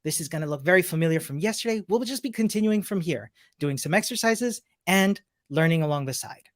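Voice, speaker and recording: A woman's voice: lowish voice, animated, a little fast. A woman from Chile teaching a live-streamed coding lesson, upbeat and chatty as she greets viewers and walks through her shared screen. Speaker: coding instructor.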